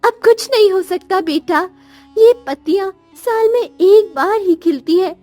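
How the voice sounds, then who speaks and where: A woman's voice: very high-pitched, unhurried, dark tone, soft background music. A woman voicing Mother Nature, a stern yet motherly goddess, in a cartoon moral story with admonishing, maternal authority. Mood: solemn, consoling. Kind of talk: dramatic Hindi cartoon story dialogue.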